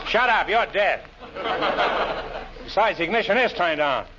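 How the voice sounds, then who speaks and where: A man's voice: high-pitched, expressive, unhurried. An actor playing a brash, glib insurance salesman and comic schemer in 1940s radio comedy style. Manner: glib, wisecracking patter. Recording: noisy, muffled old radio recording.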